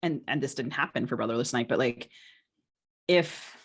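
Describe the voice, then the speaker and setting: A woman's voice: rumbling low, normal speed, breathy edge. Woman podcast co-host, hesitant and discursive. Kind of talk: casual podcast conversation.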